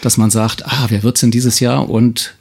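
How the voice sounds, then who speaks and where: A man's voice: medium pitch, level tone, normal speed, vocal fry, darkish tone, husky. A man hosting a casual studio radio interview, chatting informally and rambling into asides. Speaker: radio host.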